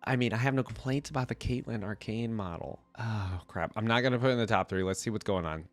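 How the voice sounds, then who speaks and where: A man's voice: on the low side, quick pace, soft background music. A man with a cold giving casual, off-the-cuff reaction commentary as a video host, informal and slangy as he thinks aloud. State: congested from a cold.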